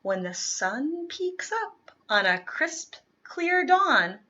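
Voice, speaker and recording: A woman's voice: sits high, very animated, drawn-out words, sharp tone, highs cut off, a little hiss or hum. A woman reading a children's picture book aloud expressively, dropping to whispers at times. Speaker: storybook reader.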